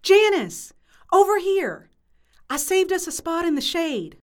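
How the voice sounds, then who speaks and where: A woman's voice: very high voice, very animated, measured pace, music in the background, heavily acted. A woman playing an eager, friendly book club member in a comedic audio drama, enthusiastic in delivery.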